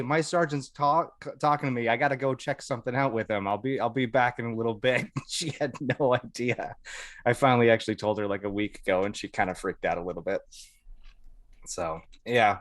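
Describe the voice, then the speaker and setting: A man's voice: medium pitch, a little fast, a bit bright, dry recording, clean studio sound. An American man telling anecdotes casually as a podcast guest, in a colloquial, amused way. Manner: colloquial, amused, chuckles through stories. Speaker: podcast guest, Air Force veteran.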